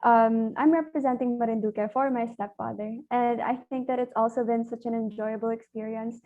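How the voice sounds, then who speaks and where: A woman's voice: fairly high pitch, fairly even intonation. An eighteen-year-old woman from the Philippines, a pageant contestant chatting eagerly in a video call interview, often laughing. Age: teens. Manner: giggly, eager, fast talking. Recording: dull lo-fi video call audio.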